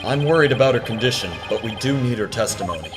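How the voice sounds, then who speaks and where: A man's voice: medium pitch, animated, fast, lightly acted. Guy doing an exasperated young lawyer from a game fandub, sardonic, big reverb, music behind him. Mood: uneasy. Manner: wry, sardonic. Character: earnest, exasperated young male defense lawyer. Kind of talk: amateur video game fan dub. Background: loud game music.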